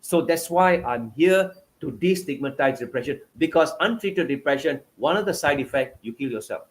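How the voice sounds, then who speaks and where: A man's voice: a little high, lively intonation, moderate pace. A man with a Malaysian accent, a guest author giving passionate, emphatic interview answers over a video call. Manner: passionate, emphatic, repetitive phrasing.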